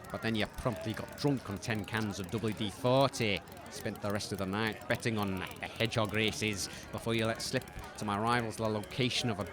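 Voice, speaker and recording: A man playing a shifty, grudging wasteland market trader in a comic audio drama, gruff and dismissive. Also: fairly low pitch, lightly acted. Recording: busy outdoor crowd and vehicle sounds. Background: street crowd bustle.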